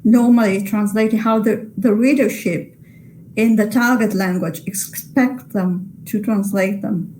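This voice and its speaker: A woman, by mother tongue, answering webinar questions. She talks slowly and carefully, pausing and restarting her sentences as she works out her answers. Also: medium pitch, steady tone, a little mellow, slight background noise, poor audio. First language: Italian. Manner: measured, hesitant, many restarts. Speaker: guest translator.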